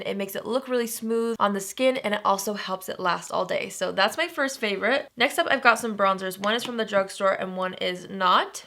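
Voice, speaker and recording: A woman beauty vlogger with a raspy, gravelly voice from allergies. She talks in a bubbly, gushing way, chatting casually to her viewers about products she loves. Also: medium pitch, a bit bright. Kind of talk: casual monthly favorites review.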